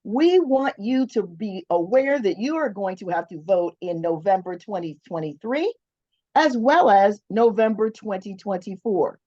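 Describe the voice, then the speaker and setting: A woman's voice: medium pitch, expressive, unhurried, mellow, no room sound. Upbeat moderator woman, dull dry sound. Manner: upbeat, emphatic, keeps things moving. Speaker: event moderator. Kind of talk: introducing speakers at a meeting.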